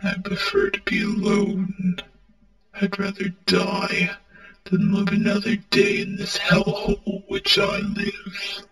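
A man performs a mournful, self-loathing robot prince from a war-torn land in an amateur audio drama. The voice is high and bright, and it moves extremely slowly in halting, melodramatic phrases. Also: sing-song, reverberant, faint music behind, exaggerated voice, poor audio. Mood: sorrowful, pained. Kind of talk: dramatic amateur character monologue.

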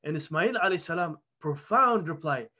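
A man in his thirties teaches a religious study circle from a plain indoor room, speaking into a webcam. His voice sits high for a man, and he tells the story earnestly, often restarting his phrases. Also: a little fast. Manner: earnest, animated retelling with restarts. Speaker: halaqa teacher. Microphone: webcam video call.

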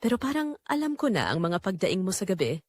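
Female reader as seductive, composed neighbour woman, romance audio story, teasing, mid pitch. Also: big pitch swings, brisk, dry recording, studio quality. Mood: bold teasing. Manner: soft-spoken, seductive. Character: calm, bold, teasing mysterious older neighbour woman.

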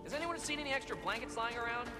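A man's voice: very high-pitched, racing, over loud music. An actor playing a friendly, eager and chatty young village man in a video game. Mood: upbeat, warm. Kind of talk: video game dialogue acting.

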